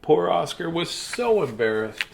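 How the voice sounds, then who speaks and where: A man reading a children's bedtime story theatrically, with dramatic pauses and playful asides. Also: on the high side, very animated, gravelly, slight background noise.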